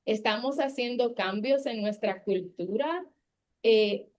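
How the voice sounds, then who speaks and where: Slow, soft-spoken woman moderator. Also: fairly high pitch. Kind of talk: panel moderation.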